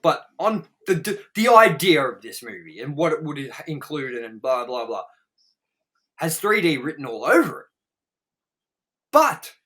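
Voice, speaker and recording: A man's voice: on the high side, fairly bright tone. A man co-hosting a casual film review chat, speaking bluntly and emphatically with plenty of swearing. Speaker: co-host of a movie review show.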